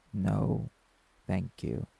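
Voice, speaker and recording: A man's voice: mellow, no echo, exaggerated voice. A man playing a brash, guarded young werewolf musician in an audio drama, with curt, sarcastic, dismissive delivery. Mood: irritated, curt. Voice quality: gravelly, low. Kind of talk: dramatic audio roleplay acting.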